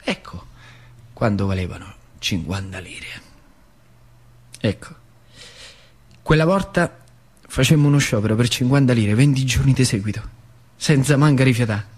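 Animated man storyteller, central Italian accent. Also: medium pitch, normal speed, long pauses, breathy edge. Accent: central Italian, Lazio. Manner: colloquial, animated, repeats phrases for emphasis. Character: working-class old factory worker recalling his past. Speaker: stage actor. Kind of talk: theatrical monologue in dialect, oral storytelling.

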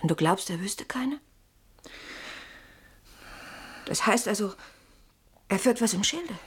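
A worried but sceptical woman in a radio drama, in a mid-pitched, very breathy voice that often sinks toward a whisper. She questions and reasons. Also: expressive, moderate pace. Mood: uneasy. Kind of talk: German radio play acting.

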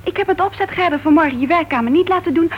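Radio drama, dull sound with faint noise: patient, placating wife, apologetic yet gently scolding. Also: female voice, very high-pitched, quick pace. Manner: soothing, apologetic, gently reproachful. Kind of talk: radio play acting. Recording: dull, noisy old radio play recording.

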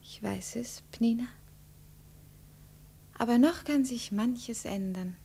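An actress playing a proud young princess, measured, imperious and quietly intense, in a 1950s German radio drama. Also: female voice, fairly high pitch, vocal fry, husky, heavily acted. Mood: cold, reflective.

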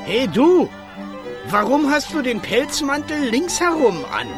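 An actor playing a small, eager, earnest festival director in a radio play for children, with a husky voice and a commanding manner. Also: high-pitched, sing-song, a little slow, over music. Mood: curious, brisk.

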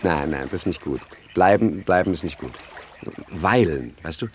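An actor playing a roguish, prosaic 1920s gangster in a German radio comedy, with a dry, grumbling, mock-poetic manner. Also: male voice, low voice, normal speed, a little hiss or hum, lightly acted. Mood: dry, pedantic.

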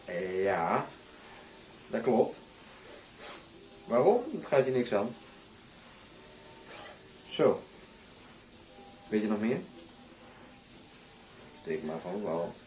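Sardonic bodyguard, roomy sound. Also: male voice, on the low side, lively intonation, extremely slow, pauses often, dark tone, recorded from a distance, a little hiss or hum. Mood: cool, guarded. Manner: dry, flippant, clipped. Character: cool, sardonic undercover bodyguard and adjutant. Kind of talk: radio drama acting.

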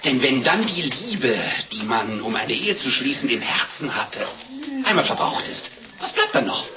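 An actor playing a preachy, long-winded priest with a solemn, halting delivery in an old radio play. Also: male voice, mid-range voice, sing-song, close to an everyday voice. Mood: solemn preaching. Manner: solemn, theatrical, halting sermonizing. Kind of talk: 1950s German radio play acting. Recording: muffled, noisy old radio play recording.

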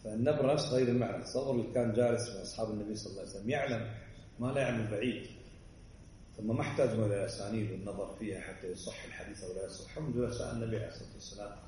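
A male religious teacher giving an explanatory lesson, often checking understanding with quick asides. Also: fairly low pitch, dark tone, slight background noise. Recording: roomy, distant mic, faint ambience.

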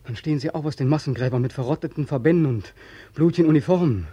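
Husky, weary, haunted soldier. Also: male voice, on the high side, quick pace, mellow, dry recording. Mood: fevered, declamatory. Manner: weary, pleading, obsessive, repeating himself. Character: weary, haunted ex-soldier back from war, insistent. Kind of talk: 1940s German radio drama acting.

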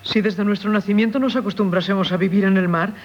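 A woman's voice: mid-range voice, steady tone, fast talker, lightly acted. An actress playing a melancholy married woman yearning for the sea, dramatic and wistful, in Castilian Spanish radio drama. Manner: dramatic, wistful, theatrical delivery. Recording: dull, noisy old radio broadcast.

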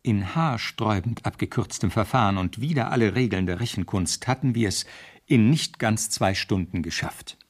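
An actor playing a young, put-upon police constable who narrates a 1970s radio crime drama, wry and husky. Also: male voice, lowish voice, moderate pace. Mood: wry narration.

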